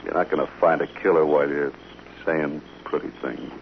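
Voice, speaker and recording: A very deep-voiced American gunman of the Old West, hard, cool and deliberate, with menace in the way he talks. The sound is a dull old radio drama recording with light hiss. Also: steady tone, creaky. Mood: warning. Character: hard, menacing, possessive western gunman and saloon man. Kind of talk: 1950s radio western acting.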